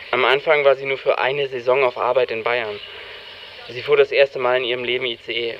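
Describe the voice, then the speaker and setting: A man playing a disillusioned, sardonic young East German in a radio drama, speaking his monologue in a wry, deadpan way. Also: mid-range voice, dull audio, close to an everyday voice. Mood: dry, bitter. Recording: noisy amateur tape-style recording, limited band.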